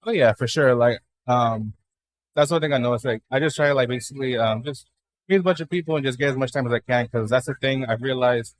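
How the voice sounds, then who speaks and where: A man in his twenties from Dallas, a new stand-up comic, chatting casually as a podcast guest with lots of fillers and swearing. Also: mid-range voice. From Dallas, Texas. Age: 20s. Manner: rapid, filler-heavy, profane. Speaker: guest comedian. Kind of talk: casual interview chat.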